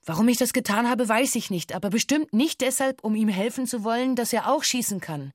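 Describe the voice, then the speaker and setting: An actress playing a former bank hostage who gives a formal, precise witness statement in a sober manner for a documentary radio drama. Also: female voice, on the high side, animated, a little fast, dry recording, studio quality. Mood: sober recounting. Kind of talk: documentary radio drama, reading a police testimony.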